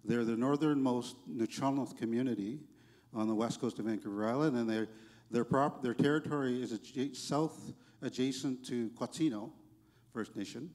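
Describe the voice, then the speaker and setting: A man speaking on a conference panel in a large hall, reflective and soft-spoken, with frequent fillers and restarts. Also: medium pitch, moderate pace. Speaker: panelist, economic development executive.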